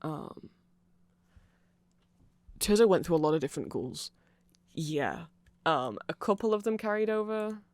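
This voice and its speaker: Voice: mid-range voice, big pitch swings, measured pace, pauses often, darkish tone, no echo. Rambling, playful ASMR explainer with a dry close sound. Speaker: ASMR creator explaining band lore.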